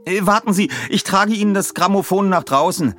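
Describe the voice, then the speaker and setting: Man voicing a high, excitable teenage boy. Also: fairly quick, faint music behind. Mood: alert, helpful. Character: Peter, helpful, excitable teenage boy detective.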